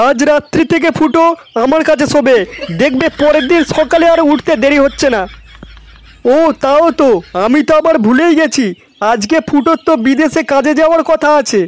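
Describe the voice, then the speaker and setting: A man voicing a scolding, long-winded old father in a comedy cartoon, with a pitched-up voice. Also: moderate pace, dry recording. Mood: nagging. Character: nagging, scolding old village father, comically crude.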